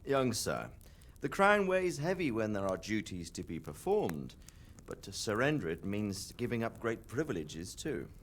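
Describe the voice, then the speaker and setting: A dignified older lord in a game cutscene. He is tactful and measured, rather low-voiced, with very expressive intonation. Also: slight background noise. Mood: courteous, firm. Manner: courteous, measured. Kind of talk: dramatic game cutscene acting.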